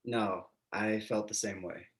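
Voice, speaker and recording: An actor playing a quirky, quick-witted male love interest in a screenplay table read, glib and theatrical. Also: on the low side, steady tone, natural voice. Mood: flirty, earnest.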